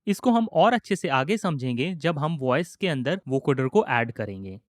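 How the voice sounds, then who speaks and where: A man with an Indian accent giving a methodical step-by-step software tutorial as an instructor. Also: fairly high pitch, big pitch swings, moderate pace, no room sound, studio quality.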